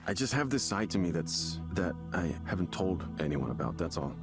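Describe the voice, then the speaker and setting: A man's voice: sits low, big pitch swings. Hesitant, troubled young father. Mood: anguished, guarded. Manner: halting, hesitant, stammering confession. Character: troubled young father hiding a secret self, anxious. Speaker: visual novel character in a therapy session. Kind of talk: naturalistic game voice acting. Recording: music behind the voice.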